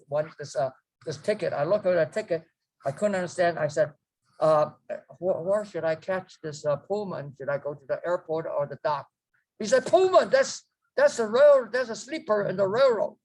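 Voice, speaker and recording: A man, telling his life story to a group, rambling and halting with many fillers and restarts. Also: a little high, darkish tone, low-quality recording. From China. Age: 90s. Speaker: guest speaker. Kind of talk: storytelling memoir.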